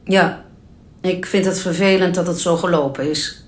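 A woman plays a hospital doctor with a low voice in an echoey room. She is formal and detached, sidestepping blame, in radio drama dialogue. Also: steady tone, normal speed, lightly acted. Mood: awkward, detached.

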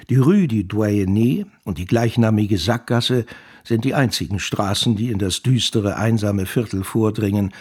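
Male literary narrator, mid-pitched and husky, measured commentary for radio drama.

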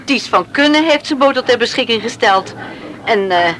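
A woman's voice: a little high, animated, normal speed, a bit bright, slight background noise. A woman acting in a Dutch radio drama as a determined island mother, earnest and pleading but stubborn. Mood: anxious, hesitant. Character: determined island mother rallying a lifeboat crew for her son.

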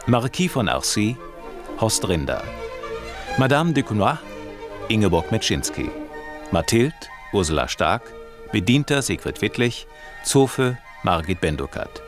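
A man's voice: fairly low pitch, very animated, a little slow, long pauses. A man working as an announcer, reading out the credits of a radio play. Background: music.

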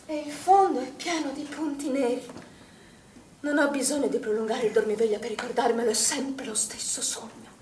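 An actress playing a troubled, grief-haunted middle-aged woman in an Italian stage drama, with anguished, heavily paused delivery. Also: sits high, fairly even intonation, darkish tone, lightly acted. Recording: distant echoey stage recording with background noise.

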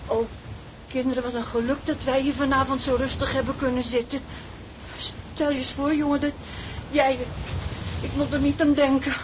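A woman's voice: high-pitched, flat delivery, moderate pace, background noise, close to an everyday voice. An actress playing an anxious, pious older mother of a seafaring family in a radio drama, fretful and devout. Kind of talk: Dutch radio drama acting.

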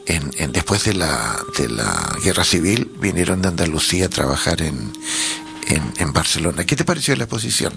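Gravelly-voiced Chilean man hosting. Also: sits low, a bit bright. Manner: chatty, halting, laughs often. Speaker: radio host. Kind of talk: relaxed radio interview.

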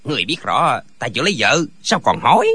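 A man voices a contrary wuxia oddball who loves to argue. His husky voice swings widely in pitch, blustering and emphatic, in a dry audiobook recording. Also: sing-song, fast talker, bright, forceful tone, dry recording, full character voice. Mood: bickering, mocking. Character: bickering eccentric martial-arts man, blustering and contrary. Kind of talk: dramatized wuxia audiobook dialogue.

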